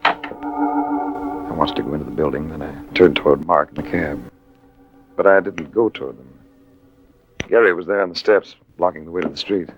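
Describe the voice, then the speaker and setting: An actor playing a suave, wisecracking adventurer who narrates in a wry, urbane American way, in 1940s radio style. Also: male voice, deep voice, wildly swooping pitch, normal speed, long pauses, heavily acted. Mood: wry narration. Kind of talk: 1940s radio acting, hard-boiled narration. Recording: dull vintage radio recording with music.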